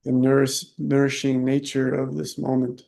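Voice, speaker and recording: Man, meditation teacher, flat even pitch, gravelly creak, soft-spoken, short phrases with long pauses. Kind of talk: guided meditation.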